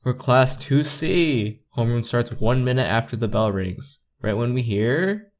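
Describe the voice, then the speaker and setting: A man's voice: medium pitch, expressive, a little slow. A man reading a visual novel aloud casually, playfully stumbling over words and adding side comments. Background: visual novel background music.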